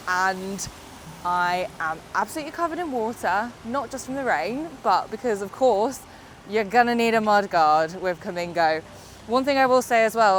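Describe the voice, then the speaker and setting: Young woman, stuffy-nosed from a cold, cheerful reviewer talking mid-ride, faint traffic outside. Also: medium pitch. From United Kingdom. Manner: chatty, upbeat, explains as she rides. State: congested from a summer cold. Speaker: e-bike reviewer. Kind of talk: casual video review while cycling. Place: outdoors on a bike on roads and hills.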